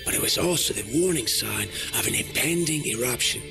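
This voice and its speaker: An actor playing a weary, reflective treasure-seeking explorer in a video game, speaking in a measured, solemn, introspective way. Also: male voice, mid-range voice, expressive. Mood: wistful, at peace. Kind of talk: video game voice acting, dramatic monologue. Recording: clear game music behind the voice. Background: game music.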